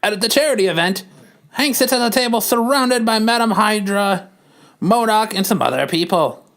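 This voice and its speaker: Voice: mid-range voice, expressive, moderate pace, fairly bright tone. A podcast host narrating a comic book dramatically in an amateur, casual way.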